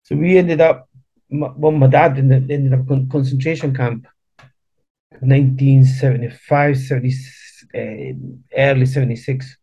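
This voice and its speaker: A man in his fifties who was born in Chile talks through his family history in a relaxed interview. He often stops, repeats words and restarts. His voice sits at an ordinary middle pitch, and the recording sounds a little dull and lo-fi. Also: normal speed, breathy edge. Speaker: guest. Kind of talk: casual interview chat.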